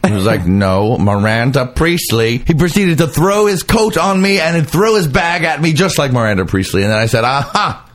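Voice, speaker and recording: A man's voice: mid-range voice, animated, normal speed, hardly any pauses. A man hosting a casual comic chat show, telling gossipy stories in a campy, giggly way and acting out what people said. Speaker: podcast host.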